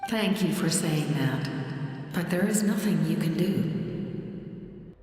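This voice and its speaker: Echoing, solemn ancient spirit. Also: female voice, deep voice, darkish tone. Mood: resigned, gracious. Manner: solemn, measured, formal. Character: ancient female spirit of a night realm, solemn and resigned. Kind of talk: scripted video game voice acting. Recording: very echoey with long reverb, music behind.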